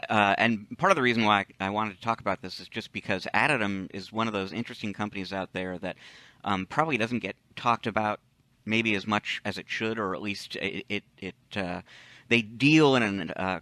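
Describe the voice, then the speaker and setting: A male podcast co-host speaks in a fairly low but bright, forceful voice. He comments casually and explains things in a measured way, recorded close and dry. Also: no room sound.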